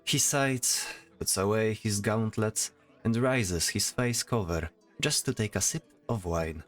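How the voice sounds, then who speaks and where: A man's voice: on the low side, measured pace, a bit bright, faint music behind. A man narrating a scripted fantasy audio drama as its storyteller.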